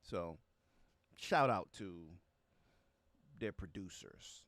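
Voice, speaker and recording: A man hosting a music reaction stream, chatting casually in a halting, conversational way and often tagging phrases with a check for agreement. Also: sits low, animated, fairly bright tone, no room sound. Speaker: music reaction show host. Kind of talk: casual livestream chat with viewers about music.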